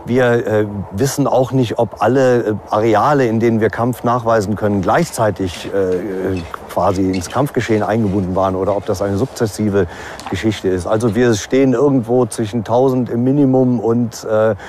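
Low-voiced male expert, hesitant, faint background noise. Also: fairly even intonation, a little mellow. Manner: hesitant, frequent pauses with "äh" while reasoning. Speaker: archaeologist, expert interviewee. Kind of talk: explanatory interview answer.

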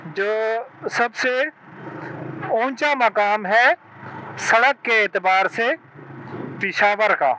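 A man's voice: high-pitched, poor audio. A man from Pakistan with a Pakistani accent, explaining things to viewers in a moto vlog commentary. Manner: explanatory, addresses viewers directly. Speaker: vlogger. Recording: loud vehicle and road noise.

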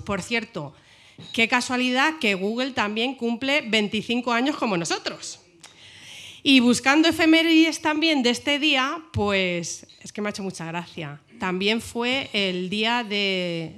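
Middle-aged hotel director, bright tense voice, loose chatty podium speech in a lounge. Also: female voice, on the high side. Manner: chatty with fillers and restarts. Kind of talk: speech at an event. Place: hotel lounge with large windows. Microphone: podium mic on a stand.

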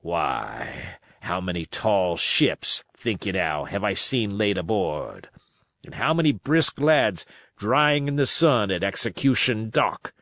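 A man's voice: lowish voice, very animated, takes it slowly, a bit bright, no room sound, clean studio sound, exaggerated voice. A man reading a storybook, voicing a shrewd, domineering pirate sea cook with salty, emphatic sailor talk. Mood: scornful, heated.

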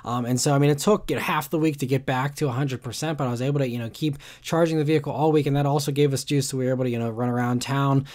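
Male car vlogger, brisk and chatty, rambling with fillers, mid-pitched voice. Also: steady tone, darkish tone. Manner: rambling, lots of "you know" and "uh" fillers. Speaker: car reviewer. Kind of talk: casual vlog narration.

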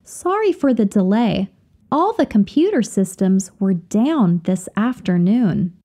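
Woman teacher reading drill lines real slow, warm mellow tone, very sing-songy. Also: mid-range voice, expressive. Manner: careful, deliberate, each phrase repeated for learners. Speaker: language teacher reading example sentences. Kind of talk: slow, clear drill reading of example phrases.

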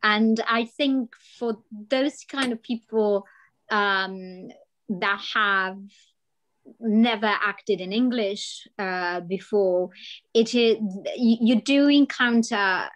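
A woman's voice: mid-range voice, takes it slowly, rough recording. A woman panellist on a video call, speaking earnestly and searching for words. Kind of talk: online panel discussion.

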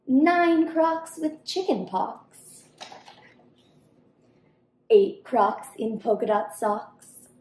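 A young woman reading a rhyming children's story aloud expressively and deliberately. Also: fairly high pitch, a little mellow. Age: young adult. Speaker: storybook reader. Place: home kitchen-dining room, indoors. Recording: roomy indoor echo.

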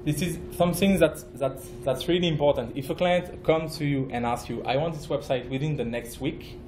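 A man from France with a French accent, giving a conference talk as a project manager, halting, with many fillers and restarts. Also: on the high side, darkish tone, reverberant, a little hiss or hum, rough recording. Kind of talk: conference presentation. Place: conference room.

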